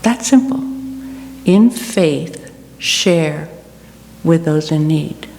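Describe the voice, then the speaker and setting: Slow, low-voiced woman speaking in an echoey hall. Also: expressive, a little mellow. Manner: deliberate, with rhetorical repetition and pauses. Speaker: guest speaker for a food charity. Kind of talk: church reflection, delivered as a speech. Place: large church room. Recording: echoey hall with background noise and hum.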